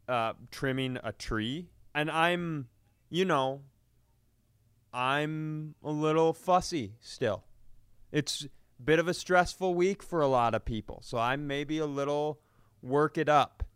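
A man's voice: a little high, slow pace, a bit bright, clean tone, no echo, studio quality. A man telling stories casually and comically as a podcast co-host, indignant and sweary, acting out the exchanges he quotes. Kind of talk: casual comedic storytelling.

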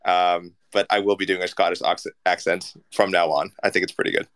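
Low-voiced playful man, co-host. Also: little pitch movement, brisk, fairly bright tone. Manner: playful, stumbling restarts. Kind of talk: casual chat.

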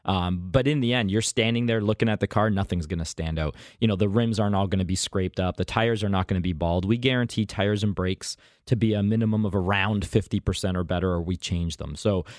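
A man's voice: sits low, brisk, few pauses, a little mellow, no room sound, studio quality. A man appearing as a guest on a radio talk show, talking up his car brokering with confident, emphatic sales talk. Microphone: studio microphone.

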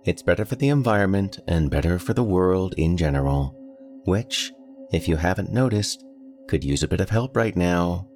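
A man's voice: low-pitched, lively intonation, normal speed, a little mellow, faint music behind, voice pushed up. A man voicing a young college-age daughter who answers patiently and a bit pointedly. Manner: patient, lightly sardonic.

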